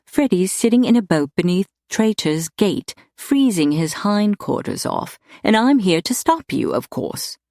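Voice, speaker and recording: A woman's voice: medium pitch, expressive, slow, a little mellow, no echo, studio quality, pitched above the performer's own voice. A woman voicing a fierce, stubborn young lady in love, heated and emphatic, in an audiobook. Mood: fiercely defiant. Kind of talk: audiobook character dialogue.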